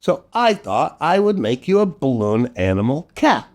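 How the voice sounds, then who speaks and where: A man's voice: on the high side, sing-song, moderate pace. A man hosting a children's storytime show in a playful, exaggerated, silly way. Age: middle-aged. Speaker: children's storytime host and entertainer.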